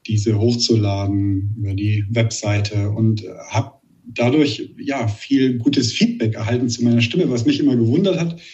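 A man with a Saxon German accent and a slight lisp, a meditation teacher answering interview questions calmly and thoughtfully. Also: medium pitch, recorded from a distance, highs cut off. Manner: calm, measured, searching for words.

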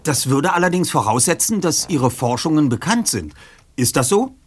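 A man's voice: on the high side, big pitch swings, moderate pace, slight background noise, voice pushed up. A man voicing a self-assured teenage boy detective with precise, pompous wording. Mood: polite, businesslike.

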